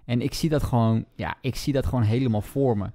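A man's voice: mid-range voice, quick pace, mellow. A man chatting casually as a podcast co-host, retelling a story and acting out bits of dialogue.

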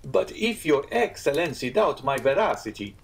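A man's voice: on the high side, sing-song, normal speed, vocal fry, pitched above the performer's own voice. A man voicing a proud, easily piqued Italian innkeeper in a storybook, earnest and emphatic. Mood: grave, offended.

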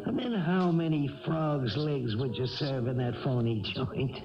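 An actor playing a sly, bullying American cat burglar in a 1970s radio drama, talking in slangy, needling, mock-friendly tough talk. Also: male voice, medium pitch, a little slow, highs cut off, very noisy, natural voice. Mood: menacing. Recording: band-limited old radio broadcast, music behind.

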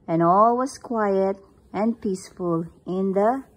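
A woman's voice: mid-range voice, slow pace. A woman reading a picture book aloud at home, recorded on a phone camera above the book. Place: indoors, at home.

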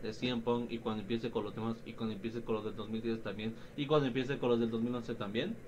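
A man's voice: medium pitch, steady tone, fast, darkish tone, lo-fi sound, slight background noise. A young man with a Mexican accent giving casual, slangy, profane commentary on a video game. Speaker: gaming YouTuber.

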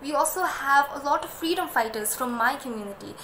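A woman's voice: high-pitched, animated, fairly quick, pushed and bright. A young woman from Darjeeling, a native Nepali speaker, earnestly explaining her community in a small room, filmed on a phone. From Darjeeling, India. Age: young adult. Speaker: student presenter. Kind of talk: casual informative talk. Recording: echoey room with faint hiss.

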